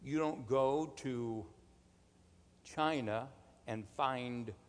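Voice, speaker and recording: Male preacher, mid-pitched, slow and deliberate sermon delivery, long hall reverb. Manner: emphatic, deliberate, rhetorical questions and calls for amen.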